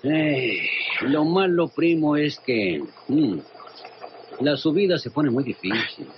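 An actor playing a roguish, jolly country bandit in a comic radio drama, with a folksy hesitant drawl and a northern Mexican accent. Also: male voice, on the high side, animated, slow, noisy background. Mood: explaining. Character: roguish, jolly one-handed rustic Mexican male bandit. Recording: muffled radio drama under loud ambience.

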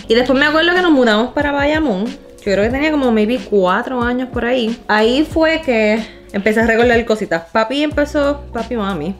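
A woman in her twenties from Puerto Rico, with a Puerto Rican accent, telling personal stories in casual, slangy talk mixed with English words. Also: mid-range voice. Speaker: vlogger. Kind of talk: casual chat, personal storytelling. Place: living room at home.

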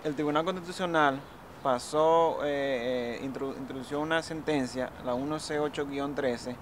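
A man's voice: fairly high pitch, brisk, lightly acted. A young man acting as a son who urgently and insistently pleads with his mother on city front steps. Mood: worried. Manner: urgent, insistent, repeats words when upset. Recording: outdoor street noise. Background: street traffic.

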